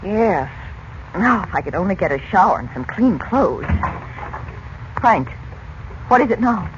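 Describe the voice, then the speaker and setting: Genteel, weary mother played by a woman, expressive and animated in pitch, 1940s radio acting, lo-fi sound. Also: a little slow. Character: Dorothy, weary genteel mother back from an ordeal. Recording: dull lo-fi radio recording with noise.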